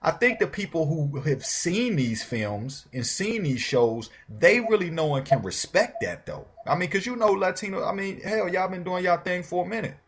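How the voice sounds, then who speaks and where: A man's voice: high-pitched, animated, moderate pace, few pauses, slightly rough. A man hosting a casual interview, speaking informally with slang and frequent encouraging affirmations. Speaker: interview show host.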